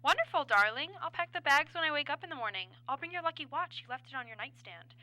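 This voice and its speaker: Bright, high-voiced devoted wife. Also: female voice, lively intonation, fast talker, dry recording, poor audio. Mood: happy, affectionate. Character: affectionate, anxious wife heard over the telephone. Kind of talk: radio drama acting.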